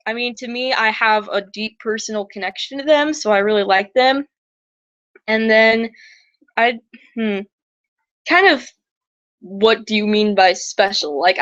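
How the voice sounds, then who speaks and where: A young woman talks loosely and eagerly, stopping, restarting and filling gaps with "like" and "um". Her voice has gone raspy and a bit shaky. The sound is dry and slightly dull, as though from a cheap home mic with no room sound. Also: mid-range voice, fairly bright tone, dry recording. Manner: chatty, rambling with many fillers and restarts. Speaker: livestream host. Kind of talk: casual educational livestream talk.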